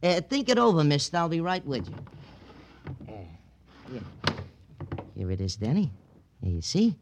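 An American actor playing a nervous, stammering, tight-fisted pawnshop owner with an oily, wheedling manner in a 1950s radio drama. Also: male voice, fairly high pitch, wildly swooping pitch, moderate pace, slight background noise. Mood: anxious, eager.

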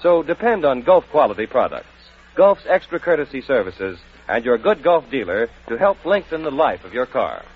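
A man's voice: mid-range voice, big pitch swings, normal speed. An American man reading a 1940s radio advertisement as announcer, with folksy, emphatic salesmanship. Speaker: commercial announcer. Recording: dull vintage radio broadcast.

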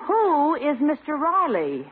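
A woman's voice: high voice, wildly swooping pitch, slow pace, heavily acted. A woman playing a poised, dry-witted school principal in a radio comedy, speaking in a polite, articulate, arch way. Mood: affronted. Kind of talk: 1940s radio comedy acting. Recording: tinny, noisy old radio recording.

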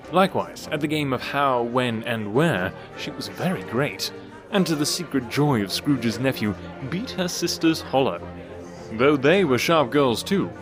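Animated male storyteller over music. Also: a little high. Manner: theatrical, amused. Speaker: narrator. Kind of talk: expressive scripted storybook narration.

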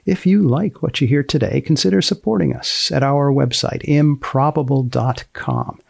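A man hosting a podcast, narrating and asking questions in a measured, deadpan way. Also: mid-range voice, gravelly, no echo, studio quality. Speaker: podcast host and magazine editor.

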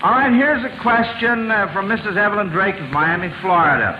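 Male radio quizmaster, vintage. Higher-pitched, hesitant and folksy; dull, echoey recording. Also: fairly quick. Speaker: quiz show host. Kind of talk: unscripted quiz show hosting, old-time radio. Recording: dull, noisy, roomy old radio recording.

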